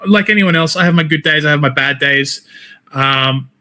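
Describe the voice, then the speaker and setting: A man's voice: on the high side, normal speed, pushed and bright. A man with an Australian accent chatting casually as an interview guest, informal and talkative. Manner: chatty, peppers talk with "man" and "like". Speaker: interview guest, wrestler and game streamer.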